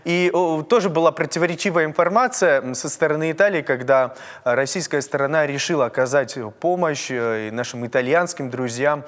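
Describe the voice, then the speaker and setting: A man from North Ossetia hosting a TV interview, speaking in a measured, searching way with many fillers. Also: fairly high pitch, fairly bright tone, in a large hall. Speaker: TV host. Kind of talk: TV interview and sign-off.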